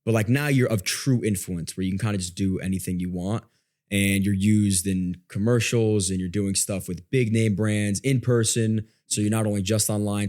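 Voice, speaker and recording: A man's voice: on the low side, darkish tone. A man chatting casually as a podcast guest, telling stories informally and often interrupting himself. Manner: informal, self-interrupting storytelling. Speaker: podcast guest, online content creator. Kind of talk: casual chat.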